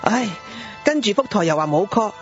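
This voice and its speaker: A man's voice: high voice, big pitch swings, normal speed. A man with a Hong Kong accent playing a harried, grumbling father in a radio drama. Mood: exasperated. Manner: sighing, exasperated. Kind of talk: Cantonese radio drama acting. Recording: dull lo-fi audio with background music.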